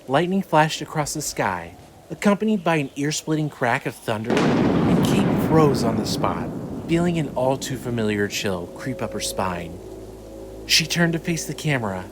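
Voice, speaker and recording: A man's voice: mid-range voice, animated, normal speed, heavy background noise. A man reading scripted narration as the narrator and announcer of a fiction podcast.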